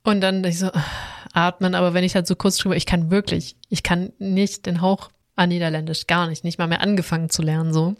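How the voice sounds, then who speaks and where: A woman's voice: fairly low pitch, level tone, fairly quick, few pauses, no echo. Young woman telling stories, dry close sound. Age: young adult. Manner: storytelling, acts out her own past reactions. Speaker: podcast co-host, doctoral student. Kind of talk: casual chat.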